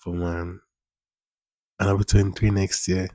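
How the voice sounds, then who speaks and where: Low flat-voiced young man. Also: a little slow, no echo, studio quality. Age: 20s. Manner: rambling, repeats phrases, informal slang. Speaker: podcast host. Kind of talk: casual solo podcast monologue.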